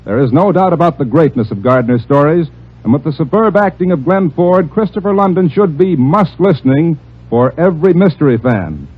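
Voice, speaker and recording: A man's voice: fairly high pitch, animated, moderate pace, breathy edge. A male announcer reading scripted promotion in the formal style of 1950s radio. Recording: dull old radio broadcast.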